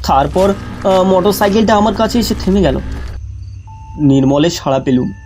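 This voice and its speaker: A man narrates a first-person ghost story in a measured storytelling voice. Clear music runs behind him, along with the chirp of night crickets. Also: sits high, animated, a little mellow.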